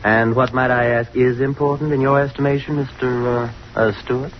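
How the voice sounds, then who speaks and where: An actor playing a snobbish, sarcastic young fraternity president in an old-time radio drama, with a dismissive, slangy drawl. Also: male voice, medium pitch, level tone, fairly quick, lightly acted. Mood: sarcastic, cool. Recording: muffled, noisy old radio recording.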